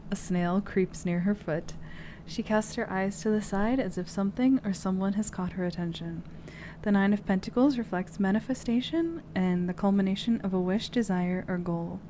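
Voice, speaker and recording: A woman's voice: mid-range voice, mellow, a little hiss or hum. A woman streamer in casual, giggly, playfully profane chat as she hosts a tarot reading.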